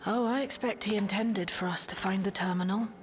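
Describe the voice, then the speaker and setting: An actress playing a confident, clever technical expert in a video game, articulate and matter-of-fact. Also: female voice, medium pitch, brisk, a little hiss or hum, natural voice. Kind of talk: dramatic video game voice acting.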